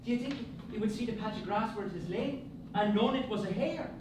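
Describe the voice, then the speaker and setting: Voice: mid-range voice, a little fast, distant mic, natural voice. An actor playing a playful Argentine grandchild who laughs and likes playing with accents on stage. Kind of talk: stage play acting. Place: theatre stage. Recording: roomy stage recording from afar with noise.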